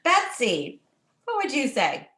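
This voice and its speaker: A woman's voice: high voice, wildly swooping pitch, slow pace, fairly bright tone. A woman moderating a panel, thanking and prompting the speakers in an appreciative manner. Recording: echoey room with background noise.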